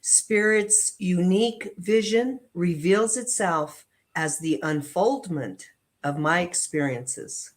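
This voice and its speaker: A woman reading affirmations and inspirational text aloud in a measured, deliberate way, phrase by phrase. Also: on the low side. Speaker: prayer practitioner and reader.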